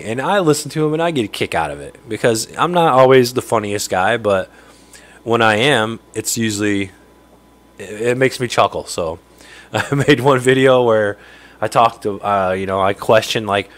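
A man in his thirties with a nasal voice, getting over a cold, hosting a casual livestream and greeting viewers by name from his home fishroom. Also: mid-range voice. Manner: chatty, greets viewers by name, laughs often. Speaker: livestream host. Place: home fishroom with aquariums, indoors. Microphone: studio mic on stand close in front.

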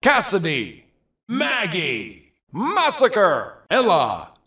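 A hyped arena announcer for a fighting video game. He is theatrical and emphatic, swinging widely in pitch and stretching out each short call very slowly, with a roomy echo around him. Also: huge pitch swings, bright, forceful tone, far from the mic, full character voice. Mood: bombastic, hyped. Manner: theatrical, drawn-out, emphatic delivery.

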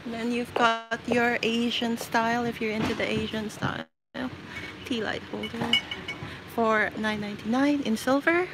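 Woman w a highish voice doing a chatty live shop-along on her phone, noisy store behind her. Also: fairly even intonation, unhurried. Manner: chatty, giving shout-outs and reading out prices. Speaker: livestream shopping host. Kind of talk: casual live shopping chat. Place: inside a large retail store. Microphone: handheld phone while walking the aisles.

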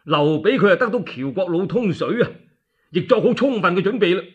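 Cantonese guy telling a radio story, high-ish husky voice, very lively, chatty. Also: fairly quick. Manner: animated, chatty asides to listeners. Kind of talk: dramatic colloquial radio storytelling. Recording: dull lo-fi radio recording.

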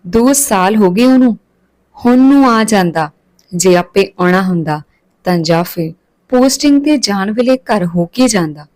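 A woman voicing a calm, decisive elderly village father in a narrated family story. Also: mid-range voice, a little slow, lightly acted, rough recording. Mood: concerned.

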